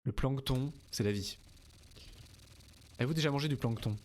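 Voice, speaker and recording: A man's voice: mid-range voice, moderate pace, a little mellow. A tired young man from Normandy, chatting casually and rambling while streaming a game, reading the chat and the game text aloud. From Normandy, France. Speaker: let's play streamer.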